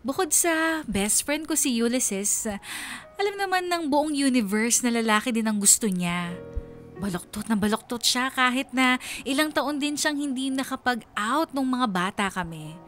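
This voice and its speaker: A woman's voice: high-pitched, animated, moderate pace, a bit bright. A woman playing a young woman who narrates her story confessionally in a radio drama. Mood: regretful, recounting. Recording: music bed behind the voice.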